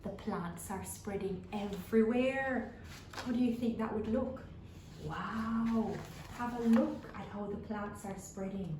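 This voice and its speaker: A woman reading a children's story aloud expressively, pausing to put simple questions to her young listeners. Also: mid-range voice, reverberant, background noise. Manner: asks simple, repeated questions as to small children.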